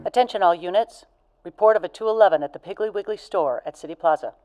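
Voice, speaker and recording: A woman playing a brisk, official police dispatcher reading bulletins in a radio play. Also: on the low side, mellow, slight background noise, close to an everyday voice. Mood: urgent, official.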